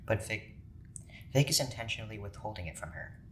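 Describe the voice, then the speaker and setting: An actor playing a stern, analytical scientist in charge, speaking in a measured clinical way in an audio drama. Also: male voice, expressive, fairly bright tone. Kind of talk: naturalistic audio drama acting. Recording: clear background noise with rumble.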